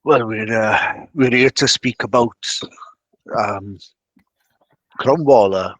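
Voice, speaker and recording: A man plays a mild, earnest clergyman in a tabletop game. He pleads politely in a medium-pitched voice with many halts, recorded close and dry. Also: a bit bright, no room sound, muffled recording. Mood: earnest, hesitant. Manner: polite, hesitant, halting.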